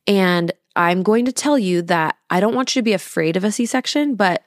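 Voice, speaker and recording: A woman in her thirties hosting a podcast in a casual, confiding way, using emphatic rhetorical questions and drawn-out words. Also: medium pitch, animated, no room sound, clean studio sound. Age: 30s. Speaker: podcast host.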